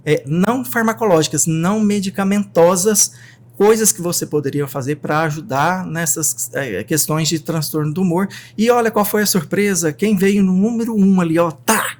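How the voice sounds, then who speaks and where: A man with a Brazilian Portuguese accent hosting a casual podcast as a psychiatrist, animated and talking straight to his listeners. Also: high voice. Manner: animated, talks straight to the audience.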